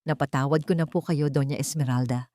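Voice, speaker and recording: Woman playing a gentle, earnest young heroine. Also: deep voice, steady tone, a little fast, dry recording, studio quality, voice pushed down, natural voice. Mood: calm forgiveness. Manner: soft-spoken, earnest.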